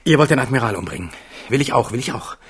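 A man's voice: mid-range voice, lively intonation, quick pace, breathy edge. An actor playing a weak, tormented, petulant young king in a German radio drama, agitated and aggrieved in a theatrical way. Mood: hysterical rage.

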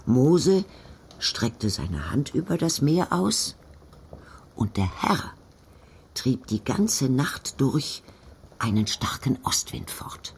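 A man's voice: medium pitch, darkish tone, husky, full character voice. An actor playing a devout, firm old village grandmother, speaking emphatically in an audio drama. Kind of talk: audio drama dialogue and Bible reading.

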